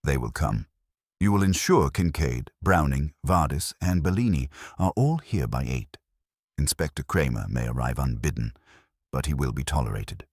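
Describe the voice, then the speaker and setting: A man's voice: very deep voice, lively intonation, normal speed, a little mellow, husky, no room sound, studio quality, pitched below the performer's own voice, exaggerated voice. A man voicing an imperious, coolly logical detective in an audiobook, with pompous, precise, formal speech. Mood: commanding.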